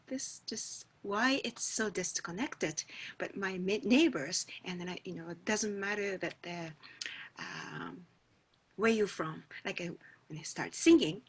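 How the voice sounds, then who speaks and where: A middle-aged Japanese woman whose first language is Japanese, telling her story in halting English on a video call as a guest musician. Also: on the low side, fairly bright tone, breathy edge. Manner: halting, searching for words in a second language. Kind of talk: reflective personal storytelling.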